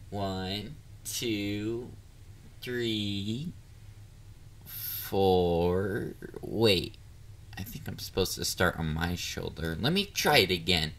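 A man's voice: on the low side, fairly bright tone, heavily acted. A man playing a bubbly, bashful catboy boyfriend in an intimate roleplay audio drama, dorky and easily flustered. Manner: playful, dorky, flustered, hesitant. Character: bubbly, bashful young male catboy partner.

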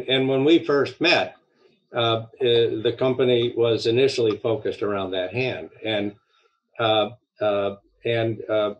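Older man hosting, halting phrasing. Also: lowish voice. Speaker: podcast host. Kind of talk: conversational interview. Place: indoor home room, video call. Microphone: headset on a video call.

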